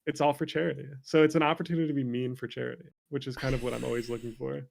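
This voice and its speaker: A man's voice: medium pitch, little pitch movement, very fast, gravelly, a little mellow, slight background noise. A man chatting casually as an interview guest, joking in a playful, mock-sarcastic way.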